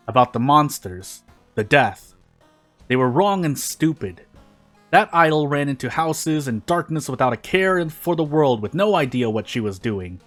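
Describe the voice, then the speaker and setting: A man informally reading a story aloud, now and then stumbling and rereading phrases. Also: mid-range voice. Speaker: fan fiction reader on a gaming video.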